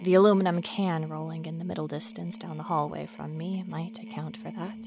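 A woman's voice: low-pitched, steady tone, fairly quick, creaky. A woman playing a nervous, wry paranormal investigator in an acted first-person horror audio drama, talking in a conversational, self-aware way. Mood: wary, wry. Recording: noisy ambient sound bed behind voice.

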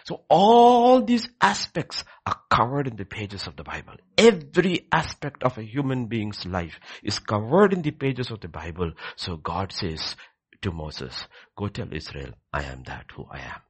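A male preacher delivers an emphatic sermon in a low, husky voice. His pitch moves widely as he swings between hushed lines and loud, forceful peaks, repeating key phrases to drive them home. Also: very animated, measured pace. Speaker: preacher leading a prayer service.

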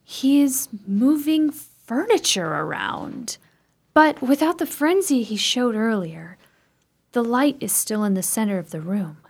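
A woman playing a bold, curious, strong-willed sister in a radio chiller, with formal, dramatic diction and a husky voice. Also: fairly high pitch, big pitch swings, measured pace, heavily acted. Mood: watchful. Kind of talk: theatrical radio play acting.

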